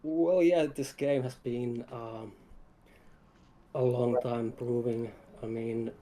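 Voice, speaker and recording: A man's voice: mid-range voice, steady tone, slow pace, mellow, slight background noise. A man talking as a game developer guest in a casual livestream interview, in hesitant, broken phrases.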